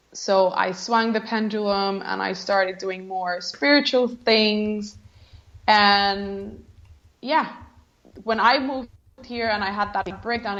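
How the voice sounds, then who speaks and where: A woman's voice: mid-range voice, muffled recording. A woman from Colombia with a Colombian Spanish accent, a guest telling her story reflectively, often saying "like". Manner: reflective, uses many "like" fillers, laughs softly. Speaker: guest, creativity coach. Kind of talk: casual interview.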